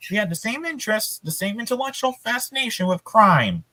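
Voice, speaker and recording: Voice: mid-range voice, expressive, fairly quick, pushed and bright, voice pushed up, poor audio. A story reader playing a shy, bookish young woman sleuth who is smitten, wordy and earnest.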